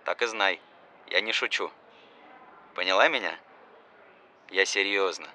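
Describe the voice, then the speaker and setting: A man's voice: deep voice, a little slow, sharp tone, full character voice. An actor playing an anxious, pleading adult son, insistent and intimate, in a scripted voice-message monologue to his mother. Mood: worried, insistent. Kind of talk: scripted audio film acting, voice-message monologue.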